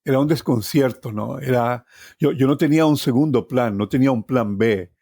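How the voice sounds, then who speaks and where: Mellow Colombian man in his sixties reminiscing. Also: mid-range voice, moderate pace, creaky, dry recording, studio quality. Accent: Colombian Spanish. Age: 60s. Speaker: storytelling guest journalist. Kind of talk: personal reminiscence, conversational storytelling. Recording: music behind the voice. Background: plucked guitar music.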